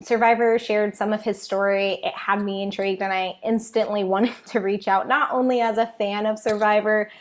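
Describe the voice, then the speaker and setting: A woman hosting a podcast interview at an ordinary mid-range pitch. She sounds upbeat and eager, welcoming her guest and asking questions with clear enthusiasm. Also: steady tone, moderate pace, few pauses. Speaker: podcast host.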